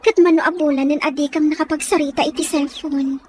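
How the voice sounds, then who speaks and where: A woman's voice: high-pitched, steady tone, fast, slight background noise, close to an everyday voice. A woman with an Ilocano Filipino accent playing a lovelorn, dutiful young provincial daughter in an audio drama, earnest and plaintive. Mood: wistful. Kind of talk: audio comedy-drama acting.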